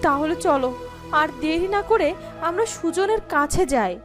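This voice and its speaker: A woman acts out a woodcutter's devoted wife in a cartoon folk tale. The voice is very high and animated, fretful and tender, with music clearly underneath. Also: measured pace. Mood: worried. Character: devoted, anxious village mother, a woodcutter's wife.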